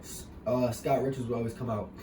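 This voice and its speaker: A young man, interviewing a fellow wrestler in a relaxed, talkative way with many fillers. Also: medium pitch, brisk. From New York. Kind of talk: casual interview. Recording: far-off echoey room with noise.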